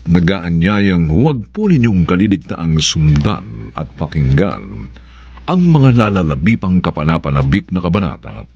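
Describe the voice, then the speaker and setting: A man's voice: low-pitched, sing-song, unhurried, vocal fry, darkish tone, slightly rough, no echo. A man with a Filipino accent announcing a radio drama in a dramatic scripted style, on dull, dry audio.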